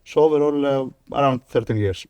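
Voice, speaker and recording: A man from Greece, a guest on a company talk show, speaking haltingly with many pauses. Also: medium pitch, a little mellow, clear, resonant tone, no room sound, lo-fi sound. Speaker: podcast guest. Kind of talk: casual conversation.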